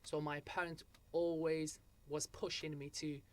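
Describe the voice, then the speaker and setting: A man's voice: fairly high pitch, little pitch movement, unhurried. A young man from Algeria, a guest in a casual interview, telling his story with frequent restarts and a repeated "so what happened?".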